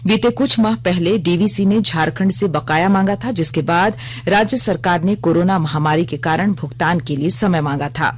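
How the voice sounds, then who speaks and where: A woman reading a scripted radio news bulletin in a formal, measured manner. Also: on the low side, breathy edge.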